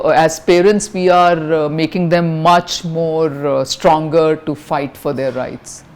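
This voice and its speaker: A middle-aged woman from India with an Indian English accent and a high-pitched voice, answering interview questions frankly, with lots of fillers and restarts. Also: a little mellow. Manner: frank, many fillers and restarts, mixes in Hindi phrases.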